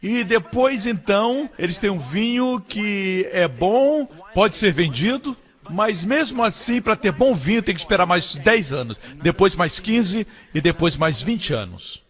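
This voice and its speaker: A man voicing a preacher's sermon in a dub, stressing key phrases by jumping higher and louder. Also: expressive, measured pace. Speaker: voice-over for a preacher. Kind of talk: dubbed sermon.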